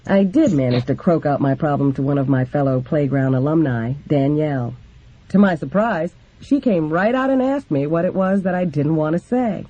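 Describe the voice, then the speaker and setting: A man narrates a memoir from the page. His voice sits a little high for a male but is very mellow in tone. The audio is somewhat dull and lo-fi. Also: animated, moderate pace. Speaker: reader of a book. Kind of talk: scripted narration, reading memoir aloud.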